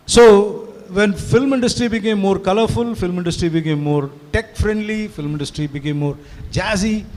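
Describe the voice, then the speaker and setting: A man's voice: sits high, moderate pace, a little mellow. Sixty-year-old man, talkative, echoing hall. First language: Tamil. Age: 60s. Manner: rambling, fluent, mixes Hindi and English, frequent "you know". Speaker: event organizer and speaker. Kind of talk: conversational talk to an audience. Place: live event venue. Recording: long hall reverb.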